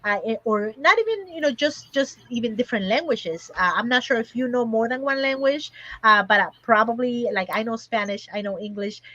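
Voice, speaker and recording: Halting woman host on a dull lo-fi line. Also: fairly high pitch, pushed and bright, dry recording. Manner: halting, many hesitations. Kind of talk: interview questions. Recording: dull, dry, lo-fi sound.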